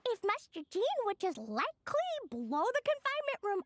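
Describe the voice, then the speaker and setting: A woman's voice: very high voice, huge pitch swings, normal speed, noisy background, heavily acted. A woman voicing a small, sweet, eager and mischievous little girl in a video game, with an earnest childlike manner. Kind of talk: animated video game voice acting.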